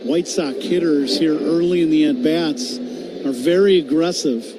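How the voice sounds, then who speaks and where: A man's voice: fairly high pitch, unhurried, background noise. A man giving conversational color analysis on a live baseball radio broadcast.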